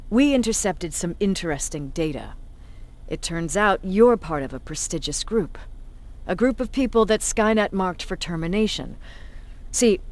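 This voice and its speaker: An actress playing a blunt, authoritative resistance commander in a video game, tough and sardonic. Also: female voice, lowish voice.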